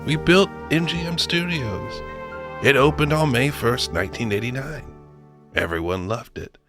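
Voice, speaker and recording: A man playing a boastful, excitable company boss given to wistful self-pity, theatrical and rambling in a comedic radio drama. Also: medium pitch, moderate pace, music in the background, natural voice.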